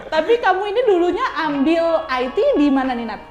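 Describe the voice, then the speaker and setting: Young female talk-show host, high voice, chatty and informal, roomy sound with music behind. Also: expressive, a little fast, a little mellow. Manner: chatty, informal, reacts often to the guest. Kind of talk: casual talk-show interview.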